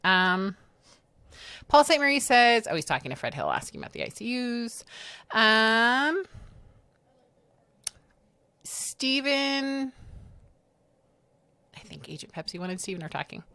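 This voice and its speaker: A woman's voice: a little high, wildly swooping pitch, unhurried, long pauses, sharp tone, no room sound. A woman chatting on a livestream, telling stories in a bubbly way with lots of fillers. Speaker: livestream co-host. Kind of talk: casual livestream chat.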